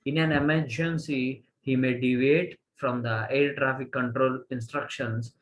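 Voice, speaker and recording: An Indian man delivers a college lecture at a very slow pace, in a medium-pitched voice. He speaks haltingly and often repeats a phrase to stress it. A faint background noise sits under the recording. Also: fairly even intonation, rough recording. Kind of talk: slide lecture, reading and explaining.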